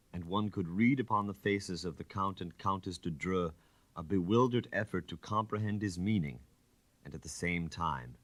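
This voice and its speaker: A man narrating an audiobook in a dramatic literary style, with theatrical, measured phrasing. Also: sits low, fairly bright tone, dry recording.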